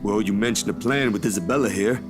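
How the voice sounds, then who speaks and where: A man's voice: fairly low pitch, quick pace, close to an everyday voice. An actor playing a teasing, earnest young man who is a loyal friend in a visual novel game. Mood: earnest, incredulous. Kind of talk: acted game dialogue. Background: guitar music.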